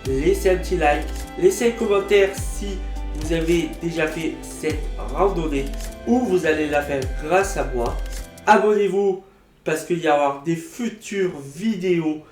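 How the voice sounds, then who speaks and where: Animated man telling stories, guitar music. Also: a little high, measured pace, roomy sound. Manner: lively, animated storytelling with sound effects. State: has a cold. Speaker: vlogger. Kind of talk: casual storytelling vlog.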